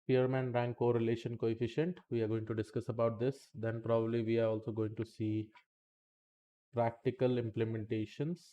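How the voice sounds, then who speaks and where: A man's voice: medium pitch, little pitch movement, normal speed, highs cut off. A man teaching statistics in a live-streamed lesson, chatty and talking to the chat between points, saying okay often.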